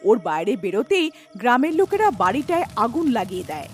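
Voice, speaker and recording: A woman's voice: a little high, big pitch swings, brisk, loud music behind the voice. A woman reading scripted narration for a cartoon horror tale.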